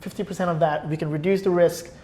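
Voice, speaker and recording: A man speaking as a guest in a relaxed, conversational podcast discussion. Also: a little high, quick pace.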